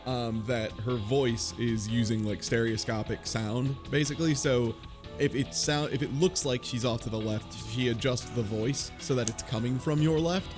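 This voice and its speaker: A man's voice: medium pitch, fairly even intonation, moderate pace. A man in a casual group discussion, wry and speculative in his way of talking. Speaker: book club podcast panelist. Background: music behind the voice.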